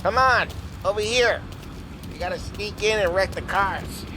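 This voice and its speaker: A streetwise New mobster, talkative and cocky, with a slightly high voice. He chats in colloquial game dialogue over clear car engine noise. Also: measured pace. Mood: teasing, brisk. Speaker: video game voice actor.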